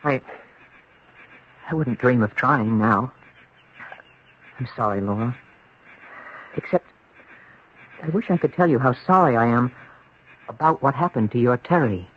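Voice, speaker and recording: A man's voice: medium pitch, lively intonation, measured pace, pauses often, natural voice. Guy playing troubled young man in old radio drama, pleading, voice swings a lot, muffled hissy recording. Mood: earnest, pleading. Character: anguished young man trapped in another man's identity. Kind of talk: 1970s radio drama acting with first-person narration. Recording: dull, noisy old radio broadcast recording.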